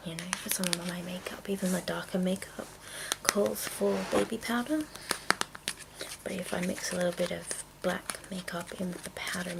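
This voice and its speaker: A woman whispering in a breathy, hushed, unhurried way with halting pauses, presenting an ASMR show-and-tell. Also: mid-range voice, heavy background noise. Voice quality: breathy whisper with creaky edges. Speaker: ASMR video host.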